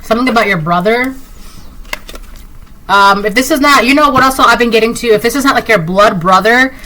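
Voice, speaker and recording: A woman's voice: on the high side, a little fast, bright, forceful tone, rough recording. A Spanish-speaking woman from Puerto Rico giving a casual tarot reading, rambling with lots of fillers and restarts. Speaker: tarot reader. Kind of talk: casual intuitive reading to camera.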